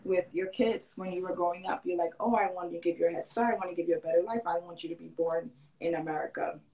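A woman's voice: on the low side, quick pace, mellow, slight background noise, rough recording. Earnest woman talking in an interview. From Grenada. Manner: earnest, restarts often, trails off. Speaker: fashion designer guest. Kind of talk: casual interview conversation.

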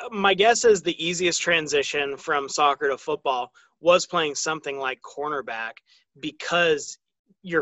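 A man's voice: fairly high pitch, pushed and bright, dry recording. An American man speaking as the host of a sports podcast, interviewing a guest.

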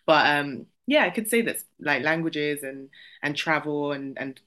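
A woman's voice: deep voice, animated, measured pace, a bit bright. A young woman from the UK chatting casually as a podcast guest, rambling with lots of fillers and restarts. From United Kingdom. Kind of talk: casual chat.

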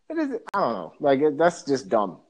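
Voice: mid-range voice, a little mellow, breathy edge. A podcast co-host from the Bahamas, ranting animatedly and profanely in a casual discussion.

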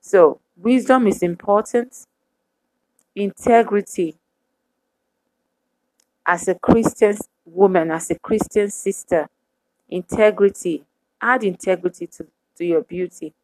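A woman preaching a sermon to women, speaking in measured, emphatic repetitions. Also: mid-range voice, a little mellow, dry recording, low-quality recording.

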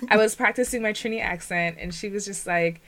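A woman's voice: mid-range voice, fairly bright tone. Chatty woman podcast co-host. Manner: chatty, sprinkles in "like" and quick asides. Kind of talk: casual chat.

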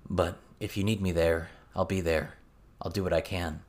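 Laconic protector, acted by a male narrator, low and quiet, calm, understated, audiobook character. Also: moderate pace, natural voice. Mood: calm, supportive.

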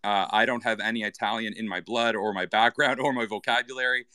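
A man from Canada with a slightly low voice talks through his thoughts in loose, rambling chat. He restarts phrases often and leans on fillers, and the sound is close and dry. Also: fairly even intonation, brisk, pushed and bright, clear, resonant tone, no echo. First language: English. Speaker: guest. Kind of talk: casual chat in an online audio space.

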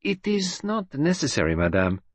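A calm, precise detective speaks quietly and formally, never flinching, voiced by a man. His pitch sits a little high with a lively lilt and a husky grain. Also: moderate pace, dry recording.

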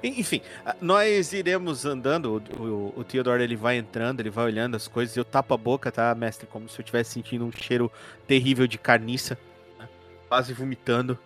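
A man with a Brazilian accent playing a tabletop horror RPG, improvising his character's actions in a joking, halting way. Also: medium pitch, a bit bright. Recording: music playing behind the voice.

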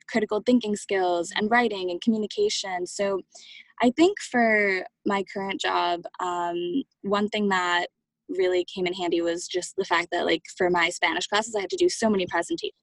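A young woman talks through her answers as an interview guest. She is casual and chatty, leaning on "like" and "um" as she goes. The sound is dry and close, with no room echo. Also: medium pitch. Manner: chatty, many "like" and "um" fillers.

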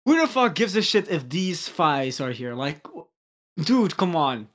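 A teenage boy co-hosting a podcast over a video call, excitable, swearing and restarting his sentences. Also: on the high side, measured pace. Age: teens. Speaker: podcast co-host. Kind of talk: casual chat.

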